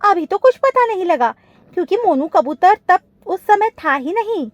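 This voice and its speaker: A woman's voice: very high voice, sing-song, moderate pace, dry recording, soft background music, pitched above the performer's own voice. A woman voicing a small, caring female bird in a cartoon story, eager and full of exclamations. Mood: puzzled.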